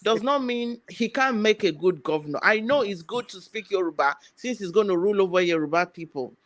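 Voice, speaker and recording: A woman's voice: mid-range voice, a bit bright, no echo. A woman arguing on a live panel, hesitant with many fillers and restarts.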